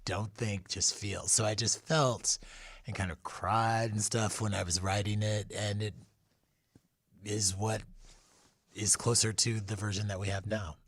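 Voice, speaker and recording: A man's voice: on the low side, flat delivery, measured pace, a bit bright, breathy edge, dry recording. A man speaking as a guest author in an interview, telling casual stories and acting out bits of what was said. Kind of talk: casual conversational storytelling.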